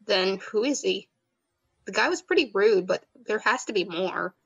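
A woman playing an earnest soft-hearted student. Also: medium pitch, expressive, vocal fry, slightly rough, muffled recording. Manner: earnest, tearful, quick to sympathize.

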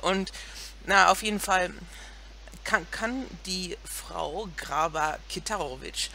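Thirties woman co-host, English first language, bright tone, headset at home, halting delivery. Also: deep voice, a little hiss or hum. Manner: hesitant, many restarts, laughs often. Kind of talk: casual chat.

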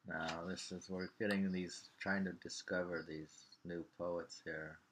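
An older man in his sixties reading poems aloud at home with informal, halting commentary, repeating lines and names. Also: low voice, flat delivery, noisy background. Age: 60s. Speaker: poetry reader. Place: small living room at home.

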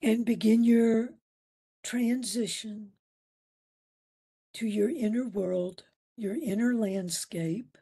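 Female meditation instructor, very unhurried, mellow and slightly husky, soothing delivery with spacious pauses. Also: fairly high pitch. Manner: soothing, unhurried, with long pauses between short phrases. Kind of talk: guided meditation.

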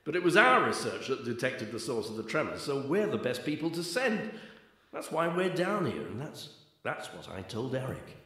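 A man's voice: mid-range voice, very animated, moderate pace, pushed and bright. A man acting a stern, impatient scientist with a curt manner in a radio drama. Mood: irritated. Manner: brusque, curt. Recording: echoing, cave-like reverberant sound.

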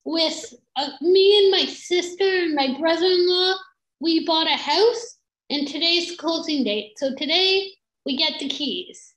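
A woman's voice: very high-pitched, unhurried, fairly bright tone, poor audio. A woman panelist chatting eagerly on a video call. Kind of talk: casual video call chat.

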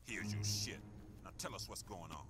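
An actor playing a tough squad soldier in a war game, blunt and profane. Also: male voice, deep voice, normal speed, very noisy, close to an everyday voice. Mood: brisk.

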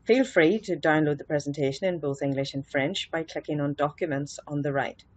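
A woman's voice: low-pitched, a little fast, a little mellow, no room sound. A middle-aged woman with an Irish accent presenting a webinar over a laptop webcam in a small office, explaining earnestly with fillers and restarts. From Ireland. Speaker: webinar presenter, social worker. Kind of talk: webinar lecture. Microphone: laptop webcam, video call.

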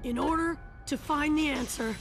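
A high-pitched narrator delivers slow, solemn trailer lines with weighty pauses. Loud cinematic music sits underneath, and the voice sounds set back in the mix.

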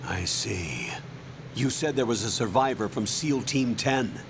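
An actor playing a stern, secretive military commander in a video game, clipped and authoritative over a radio call. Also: male voice, mid-range voice, expressive, a little slow, background noise. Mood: stern, concerned. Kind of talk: video game cutscene acting.

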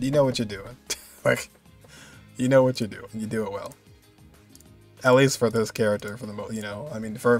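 A man giving casual, rambling, enthusiastic reaction commentary as a video host. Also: fairly low pitch. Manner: rambling, enthusiastic, repeats himself.

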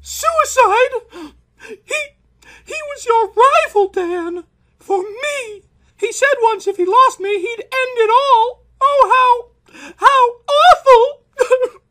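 A woman's voice: very high voice, takes it slowly, a bit bright, full character voice. A woman voicing a tender, easily frightened young newlywed bride in a melodramatic horror comic dramatization. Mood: grieving.

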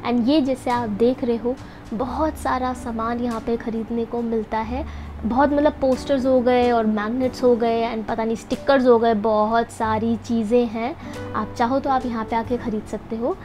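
A woman's voice: a little high, steady tone, moderate pace, music in the background. A young woman narrating her vlog as a voice-over, chatty and upbeat, talking straight to her viewers. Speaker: food and event vlogger. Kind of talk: voice-over narration mixing Hindi and English.

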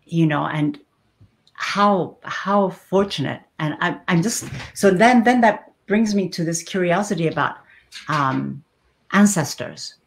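A middle-aged woman hosts an interview over a webcam from a small room, her voice low. She asks her questions slowly and thoughtfully, working her way toward each point. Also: creaky. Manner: slow, thoughtful, searching questions. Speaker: host. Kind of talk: video call interview. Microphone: webcam on video call.

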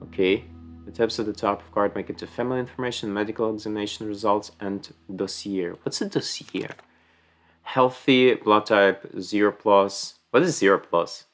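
A man's voice: on the low side, moderate pace, soft background music. A young man with a Ukrainian accent chatting casually and reading game text aloud as he plays, recorded at home. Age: young adult. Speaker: gaming streamer. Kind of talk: casual let's play commentary. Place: small room at home.

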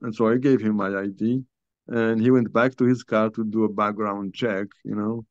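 A man's voice: fairly low pitch, fairly even intonation, no echo. Older man from Algeria on a video call. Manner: halting, restarts often, many you-knows. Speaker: guest author. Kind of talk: conversational storytelling. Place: small home room, indoors. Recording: dull lo-fi video call audio.

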